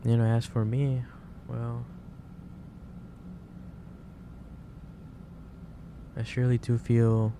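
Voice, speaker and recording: A man playing a gentle, caring office boss in a soft-spoken ASMR roleplay, speaking haltingly and repeating himself. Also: medium pitch, completely flat delivery, gravelly, background noise, lightly acted. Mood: gently worried. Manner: hesitant, halting, repeats phrases. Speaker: roleplay performer.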